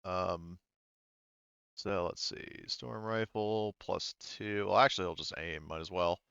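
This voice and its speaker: A man working as game master, narrating a tabletop roleplaying game casually, thinking aloud haltingly and swearing freely. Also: low-pitched, fairly even intonation, creaky, fairly bright tone, clear, resonant tone, no echo, dull audio.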